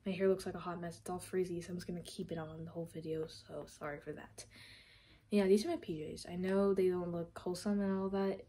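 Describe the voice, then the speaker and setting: A woman's voice: fairly low pitch, fairly even intonation, quick pace, dark tone, slightly rough. Young woman casually hosting a reaction vlog. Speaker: reaction video host. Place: room at home, indoors.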